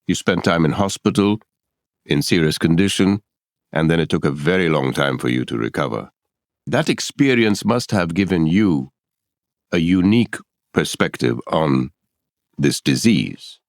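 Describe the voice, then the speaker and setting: Deep voiced guy asking interview questions, calm and formal, dry sound. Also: a little slow, no echo.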